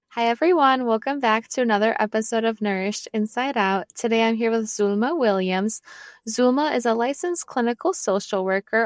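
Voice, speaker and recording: A woman's voice: medium pitch, no room sound, clean studio sound. A woman hosting a podcast with an upbeat, affirming delivery. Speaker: podcast host. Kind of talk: podcast hosting and scripted promo.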